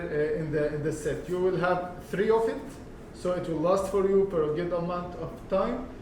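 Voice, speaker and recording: A man whose first language is Arabic explains a hair care routine in English, his voice sitting high for a man. He stops and starts with lots of fillers as he picks his words. He sounds set back from the mic, in a strongly echoing room with faint noise underneath. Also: darkish tone. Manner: halting, many fillers and restarts. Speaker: hair transplant consultant. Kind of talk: casual product explainer. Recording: echoey room, voice from far off.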